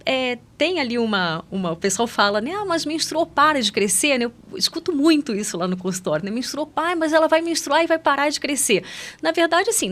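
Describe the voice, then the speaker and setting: Casting: Brazilian woman, higher-pitched voice, medical expert guest on a podcast, conversational explainer who checks in with the listener. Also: a bit bright. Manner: explanatory, often seeks agreement with tag questions. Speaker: guest pediatric endocrinologist.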